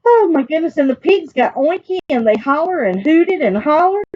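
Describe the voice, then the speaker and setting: A woman with a Southern US accent and a husky voice reads aloud to young children as a librarian, expressive and folksy, speaking to them directly. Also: sits high, normal speed, lo-fi sound. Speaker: children's librarian reading picture books aloud.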